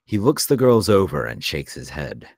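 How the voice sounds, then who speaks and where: A man's voice: deep voice, big pitch swings, normal speed, vocal fry, darkish tone, no room sound, clean studio sound. A man narrating the stage directions of a screenplay at a table read.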